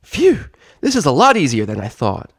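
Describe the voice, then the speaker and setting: A man voicing a brash, excitable young tomboy pegasus filly with cheeky slang in a cartoon story reading. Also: medium pitch, moderate pace, husky, no room sound, clean studio sound, voice pushed up, exaggerated voice. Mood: cheerful strain.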